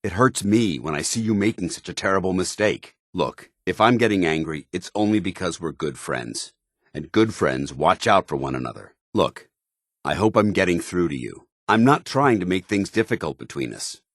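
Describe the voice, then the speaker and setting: An actor playing a stern, loyal, zealous soldier in a video game, speaking gruffly with earnest, clipped military formality. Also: male voice, deep voice, lively intonation, normal speed, no echo, studio quality. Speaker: companion soldier character.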